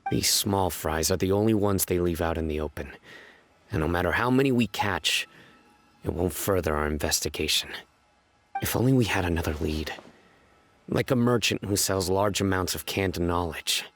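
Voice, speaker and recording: An actor playing a cold, commanding male investigator in a video game, speaking in a measured, clipped way. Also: deep voice, fairly bright tone, soft background music. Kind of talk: game voice acting.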